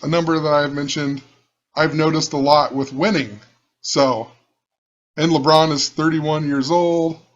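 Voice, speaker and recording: A man with a rough voice, rambling and musing as he talks through his own video channel. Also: fairly high pitch, highs cut off. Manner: musing, rambling, repeats himself. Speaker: channel host. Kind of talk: casual screen-share commentary.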